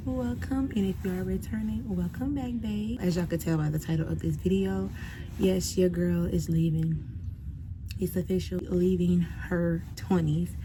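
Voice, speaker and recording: A woman, talking casually and directly to her viewers in a reflective vlog. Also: fairly low pitch. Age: 20s. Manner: chatty, direct address to viewers. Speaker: vlogger.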